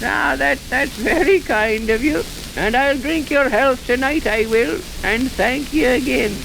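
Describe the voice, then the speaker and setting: An actor playing a garrulous, aggrieved old blacksmith with a rural English accent in an old-time radio drama. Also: male voice, very high voice, measured pace, creaky, exaggerated voice. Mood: grateful. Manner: folksy, grumbling, repeats himself for emphasis. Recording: noisy, hissy, crackling old radio recording.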